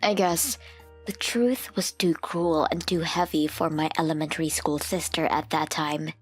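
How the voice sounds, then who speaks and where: A woman's voice: sits low, steady tone, moderate pace, husky. A woman narrating a scripted first-person story as a grown woman soberly looking back on a family memory. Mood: sober, reflective. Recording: music under the voice.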